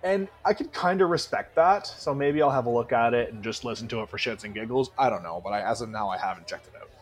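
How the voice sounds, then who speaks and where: A man co-hosting a podcast in a casual chat about music, animated and swearing freely. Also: medium pitch, quick pace, slightly rough. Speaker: podcast co-host.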